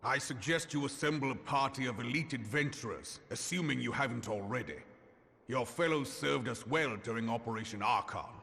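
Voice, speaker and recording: A man playing a composed statesman in a fantasy game, speaking formally and deliberately. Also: medium pitch, gravelly, bright, forceful tone. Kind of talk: dramatic fantasy game acting. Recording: long hall reverb.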